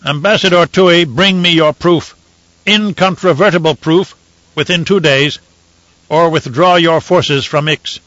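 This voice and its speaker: A male narrator voicing a cold, imperious emperor who speaks in a stern, measured way. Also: fairly high pitch, fairly bright tone, no echo, lo-fi sound, voice pushed up.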